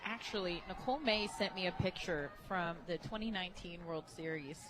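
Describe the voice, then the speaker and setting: An American woman giving conversational color commentary on a softball radio broadcast, as an analyst. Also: fairly low pitch, fairly quick. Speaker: color analyst, former player. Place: stadium radio booth, open to outdoor noise. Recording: clear outdoor ballpark noise.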